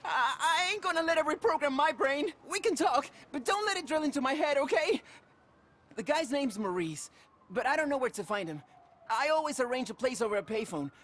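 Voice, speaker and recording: High-pitched, whiny street crook. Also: male voice, very animated, brisk, a bit bright, exaggerated voice. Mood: frightened, pleading. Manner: whiny, nervous, street-tough slang. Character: cornered, pleading male small-time drug criminal.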